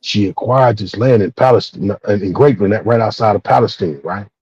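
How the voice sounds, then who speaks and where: Man, mellow husky voice, emphatic repetitive phrasing, casual profanity, dull roomy recording. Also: lowish voice, fairly quick. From Texas, United States. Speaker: discussion participant. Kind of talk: casual, profane panel discussion. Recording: dull, roomy, muffled lo-fi audio.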